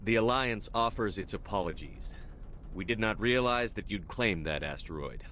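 An actor playing a tough, no-nonsense military commander in a video game, speaking in a terse, hard-edged, controlled way. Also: male voice, lowish voice, expressive, normal speed, a bit bright, slight background noise. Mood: curt, controlled.

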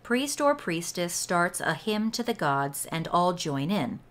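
Slow, low-pitched woman reading aloud. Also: sing-song. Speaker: read-along host and reader. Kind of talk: slow scripted read-aloud of a ritual book.

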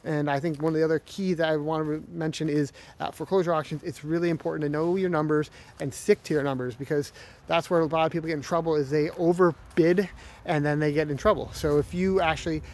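A man's voice: fairly high pitch, fairly even intonation, fast, a little mellow. New man vlogging in echoey empty house. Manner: rambling, many ums and restarts. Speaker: real estate investor host. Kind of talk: casual walkthrough vlog. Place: empty house, then outdoors. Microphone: camera held at arm's length. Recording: echoey empty room, faint ambient noise.

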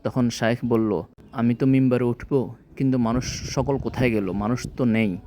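Male tutor, mid-pitched mellow voice, didactic chunked delivery of a scripted lesson, slight background noise. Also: steady tone, moderate pace. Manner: didactic, enunciates phrases in small chunks. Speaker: language teacher. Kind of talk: scripted lesson, phrase-by-phrase translation.

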